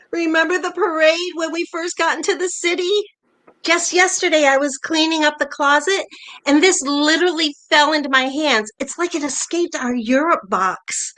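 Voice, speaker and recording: A woman's voice: very high voice, fairly bright tone, rough recording. A woman playing a playful, bossy older wife reminiscing fondly with a spouse in a staged play reading. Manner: teasing, nostalgic, conversational.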